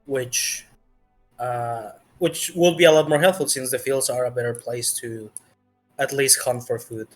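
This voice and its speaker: A man narrating a tabletop roleplaying game as game master, in a halting, hesitant way. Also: mid-range voice, steady tone, soft background music.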